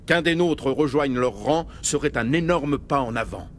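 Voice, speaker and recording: A man's voice: medium pitch, expressive, moderate pace, lightly acted. Male actor as military starship captain, firm, formal, authoritative, game cutscene, faint noise behind. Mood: serious, explaining. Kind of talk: video game cutscene acting in French.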